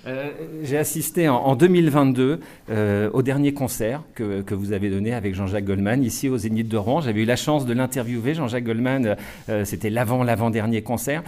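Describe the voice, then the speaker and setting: A man's voice: mid-range voice, brisk, darkish tone. Male interviewer, conversational, repeats words and fills with "euh". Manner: chatty, with stammered repeats and "euh". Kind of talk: interview questions.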